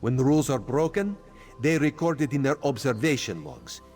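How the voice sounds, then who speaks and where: A man's voice: mid-range voice, big pitch swings, normal speed, a little mellow. An actor playing a sly, playful cat-man spy in a video game who refers to himself in the third person, over faint game music. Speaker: spymaster quest giver. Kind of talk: video game character dialogue.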